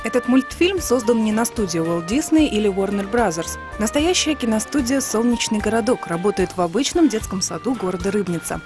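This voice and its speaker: Female TV reporter, scripted voice-over, mid-pitched, clear music underneath. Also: lively intonation, fairly quick, few pauses. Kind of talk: scripted narration of a TV feature.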